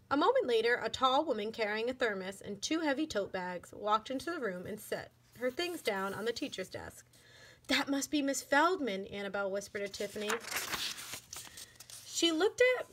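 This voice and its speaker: Woman storybook narrator, teacher style; higher-pitched, very animated, deliberate and expressive. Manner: expressive, deliberate read-aloud. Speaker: elementary school teacher reading aloud.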